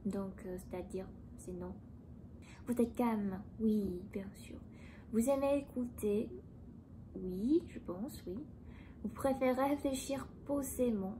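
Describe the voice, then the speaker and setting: A woman's voice: fairly high pitch, a little hiss or hum, rough recording. A young woman from Taiwan, speaking French as an in a casual, hesitant chat while answering a quiz. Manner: soft-spoken, hesitant, pauses to find words. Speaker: vlogger. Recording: background music under the voice.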